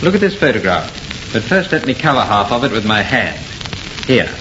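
Grave friend, muffled old radio. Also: male voice, fairly low pitch, big pitch swings, background noise. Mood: grave, revealing. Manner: measured, theatrical revealing. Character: serious, deliberate male investigator friend. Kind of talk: old-time radio horror acting.

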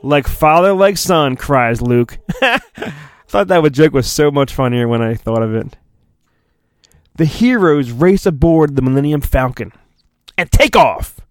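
A man hosting a podcast, giving a mock-dramatic storybook reading and breaking into jokey, deadpan asides. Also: mid-range voice, slow pace, long pauses, no room sound, studio quality. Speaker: podcast host.

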